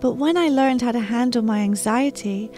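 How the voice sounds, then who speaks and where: A gritty ninja friend from a children's storybook, read by a woman, reassuring and instructive. The delivery is emphatic and fairly high in pitch. Music plays underneath. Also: moderate pace, a little mellow, natural voice. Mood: confiding.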